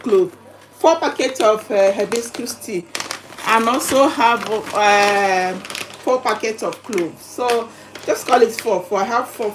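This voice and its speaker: A woman chatting casually to her livestream viewers while unboxing, halting and repeating herself, recorded in a home kitchen. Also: fairly high pitch. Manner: halting, repetitive, chatty delivery. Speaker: livestream vlogger. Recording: noisy home recording with clicks.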